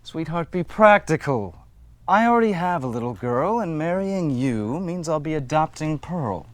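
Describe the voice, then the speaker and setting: Glib, swooping Victorian gentleman swindler. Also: a little high, sing-song, moderate pace, no echo. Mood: coaxing. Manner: coaxing, glib, persuasive. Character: smooth, manipulative Victorian gentleman swindler and killer. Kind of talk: stage play acting, period dialogue. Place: theater stage before an audience.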